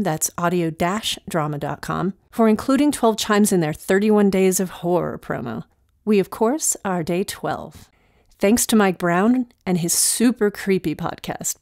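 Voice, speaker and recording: A woman's voice: fairly low pitch, darkish tone, dry recording, studio quality. A woman hosting a podcast, reading scripted thanks and announcements. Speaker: podcast producer and host.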